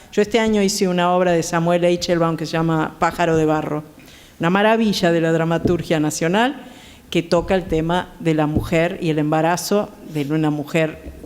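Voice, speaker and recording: A woman's voice: lowish voice, a little mellow. A middle-aged woman with an Argentine accent, talking conversationally as a guest on a theatre panel. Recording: reverberant theatre hall.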